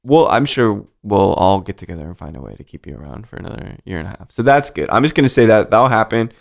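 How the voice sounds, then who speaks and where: A man with a very low, gravelly voice hosts a relaxed podcast chat. He talks loosely and swears now and then. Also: fast. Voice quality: deep voice. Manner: laid-back, swears casually. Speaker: podcast host. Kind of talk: casual interview chat.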